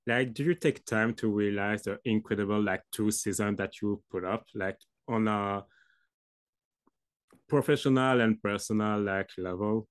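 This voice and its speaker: A young man asking interview questions, halting and rephrasing as he goes. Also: on the low side, clear, resonant tone. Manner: halting, loosely worded questions. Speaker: student interviewer.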